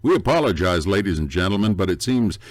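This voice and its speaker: An actor playing a dramatic, mock-serious announcer in a campy noir radio play. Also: male voice, low voice, sing-song, fast talker, background noise. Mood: mock-solemn. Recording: music faintly behind the voice.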